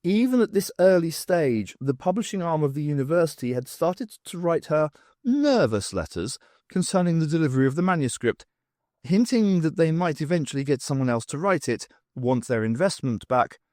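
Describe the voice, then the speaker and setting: A man reading a novel aloud as scripted narration, with an expressive storytelling delivery. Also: on the high side, no echo, studio quality. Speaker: audiobook narrator.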